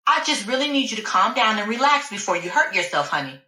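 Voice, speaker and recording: A worried female doctor who coaxes and pleads, calling everyone honey and dear, as a woman reads her part aloud. Her voice sits high and swoops around as she tries to talk someone down. The sound is bright and roomy. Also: sing-song, normal speed. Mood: pleading. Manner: gentle, coaxing, calls the listener honey and dear.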